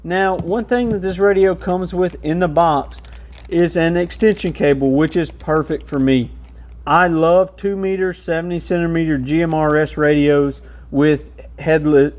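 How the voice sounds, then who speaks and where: Male reviewer, slightly high-pitched, informal and practical, talking through gear as he handles it. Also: darkish tone. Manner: informal, hands-on explaining. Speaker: radio shop reviewer. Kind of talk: casual product walkthrough.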